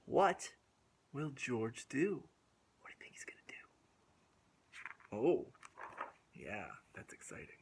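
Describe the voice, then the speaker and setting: A man reading a picture book aloud to young children in an animated, drawn-out way, asking questions and dropping into whispers. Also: medium pitch, gravelly. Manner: drawn-out, asks the listeners questions, drops to whispers. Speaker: children's storytime host.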